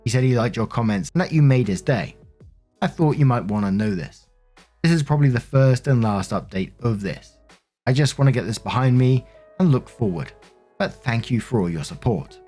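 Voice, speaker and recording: A man's voice: medium pitch, lively intonation, moderate pace, dark tone, soft background music. A man narrating online stories aloud as a show host, conversational and informal, with casual commentary.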